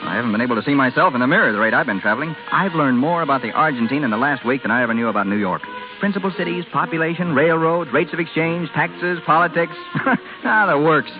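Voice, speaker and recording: An actor playing an earnest, bashful young American suitor in old radio drama style, talking colloquially with stammers and halts. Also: male voice, on the high side, quick pace, over music, close to an everyday voice. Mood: eager. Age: young adult. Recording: dull old radio recording.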